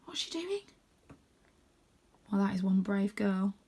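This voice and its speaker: A woman narrating a children's story in a dramatic, expressive, suspenseful way with clear emphasis. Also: mid-range voice, slow. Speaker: storybook reader, teacher.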